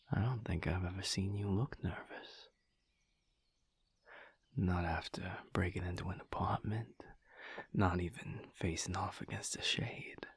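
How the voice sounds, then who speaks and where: A man performs a guarded, teasing male lover in an intimate audio roleplay. His low voice is very husky and breathy, often sinking toward a whisper. He takes his time, leaving hesitant gaps between phrases. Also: no room sound, full character voice. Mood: teasing, flirty. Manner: slow, teasing, hesitant pauses.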